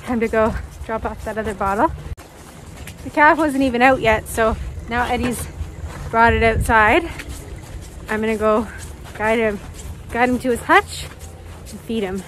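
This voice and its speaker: A woman's voice: fairly high pitch, measured pace, long pauses, rough recording. A woman in her thirties giving casual vlog narration outdoors on a farm, recorded on a phone held at arm's length. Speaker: dairy farm vlogger and mother. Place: outdoors on a snowy farmyard. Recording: clear outdoor wind and vehicle noise.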